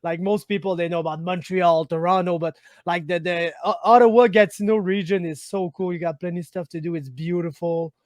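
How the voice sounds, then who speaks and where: A man's voice: high-pitched, steady tone, fairly quick, few pauses, dry recording, studio quality. A man from Quebec with a Quebec French accent, hosting a casual interview, chatty and friendly. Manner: friendly, chatty, says "my man", rambling questions. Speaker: interviewer, host.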